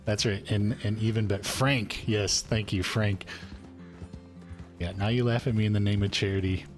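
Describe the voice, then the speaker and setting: A low-pitched man with a folksy drawl, chatting with other players and viewers while he plays a western game. He talks casually and pokes fun at himself, with faint music playing behind him. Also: steady tone, moderate pace. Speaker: streamer playing an old cowboy character. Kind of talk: roleplay and chatting with stream chat.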